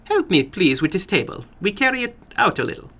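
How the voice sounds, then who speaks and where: A man voicing a funny, sinister little foreign gambler in a horror story, brisk and courteous, with precise, stilted non-native phrasing. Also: sits high, sing-song, bright, forceful tone, husky, voice pushed up, exaggerated voice. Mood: brisk, polite. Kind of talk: dramatic horror story reading.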